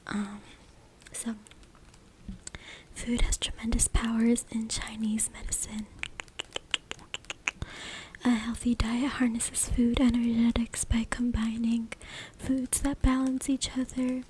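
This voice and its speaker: A woman reading aloud in a soft, hushed, deliberate ASMR whisper, close to a USB microphone. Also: a little high, level tone. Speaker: ASMR creator reading from a book. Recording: noisy close USB mic with clicking. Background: noise, tapping and clicking sounds.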